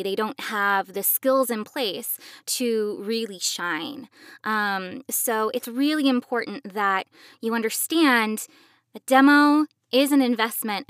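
Unhurried woman podcaster, close dry sound. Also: fairly high pitch, a bit bright, no echo. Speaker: podcast co-host, professional voice actor. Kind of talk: conversational instructional podcast talk.

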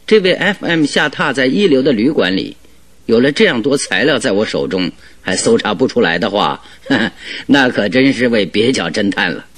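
A clever jovial detective in a radio play, bit husky, really swingy pitch, sure of himself. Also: male voice, animated, normal speed, fairly bright tone. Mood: confident, explanatory. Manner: chuckling, expansive, leisurely explaining his deductions. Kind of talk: Mandarin radio drama acting.

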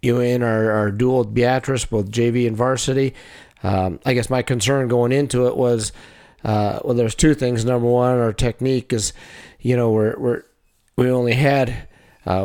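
A man, a wrestling coach, talking over the season in a casual, halting way, full of fillers and restarts. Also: medium pitch, a little mellow, smooth. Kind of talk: casual chat.

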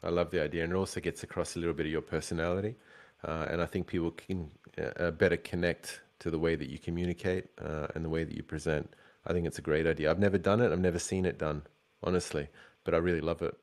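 Very deep-voiced enthusiastic man. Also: flat delivery, fast. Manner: enthusiastic, emphatic. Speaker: guest, design recruiter. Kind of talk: casual interview chat.

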